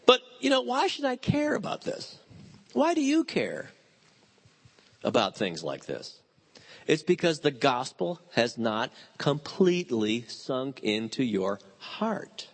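A man in his fifties preaching a sermon, animated and telling stories with quoted voices. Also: mid-range voice, slow. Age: 50s. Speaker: pastor.

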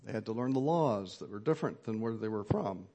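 A middle-aged man preaching an earnest sermon at a measured pace, with occasional fillers. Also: on the low side, lively intonation, vocal fry, mellow. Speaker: pastor.

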